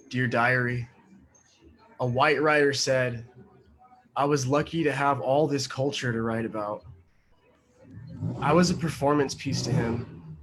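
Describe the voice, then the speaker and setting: A man reading his own poems aloud over a video call, measured and rhythmic. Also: mid-range voice, fairly bright tone, poor audio. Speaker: guest poet. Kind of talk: poetry reading.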